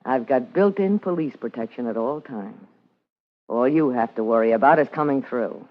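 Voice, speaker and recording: A woman's voice: a bass voice, moderate pace. An actress with an American accent playing a hard-boiled, wisecracking party girl and blackmailer in a vintage radio drama, with a tough, sardonic manner. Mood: tough, defiant. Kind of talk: 1950s radio noir acting, narration and dialogue. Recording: muffled vintage radio recording with music.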